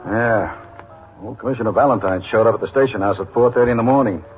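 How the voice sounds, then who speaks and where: Actor as a low-voiced seasoned American detective, old radio. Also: male voice, quick pace, soft background music, lightly acted, rough recording. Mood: casual storytelling. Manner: colloquial, casual anecdote telling. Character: seasoned, unassuming plainclothes police detective. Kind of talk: old radio police drama acting. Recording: vintage radio drama recording.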